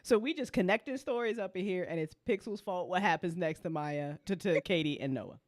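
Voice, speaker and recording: A woman's voice: fairly low pitch, fairly quick, no room sound, studio quality. A woman, a show creator on a panel, chatting casually in a playful, teasing way. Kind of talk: casual chat.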